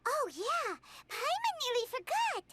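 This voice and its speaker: A woman voicing a tiny, childlike fairy companion in a video game, squeaky, blunt and expressive. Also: a little slow, heavy background noise, heavily acted. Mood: chatty, earnest. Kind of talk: scripted video game dialogue.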